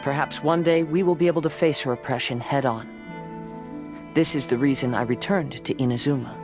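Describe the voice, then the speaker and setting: A man playing a calm, poetic young wandering samurai in a game dub, speaking softly and formally without hurry. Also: medium pitch, a little fast, music in the background, natural voice. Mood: reflective, calm. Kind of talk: English game dub acting.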